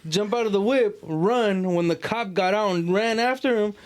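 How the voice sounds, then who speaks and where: A man's voice: high-pitched, normal speed. A man casually telling stories in street slang with some swearing. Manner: slangy, profane. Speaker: podcast participant. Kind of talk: casual storytelling chat.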